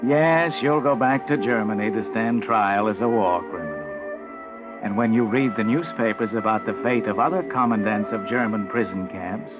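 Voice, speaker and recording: An actor playing a sardonic, ominous all-knowing narrator who mockingly addresses the culprit in a 1940s radio mystery. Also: male voice, lowish voice, moderate pace, natural voice. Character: sardonic, ominous omniscient narrator of crime tales. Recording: very tinny 1940s radio recording. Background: music.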